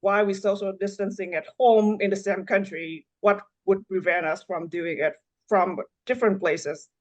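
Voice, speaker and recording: A woman's voice: medium pitch, steady tone, highs cut off. Woman from Vietnam. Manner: halting, frequent fillers and restarts. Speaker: neonatology fellow. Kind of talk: panel discussion.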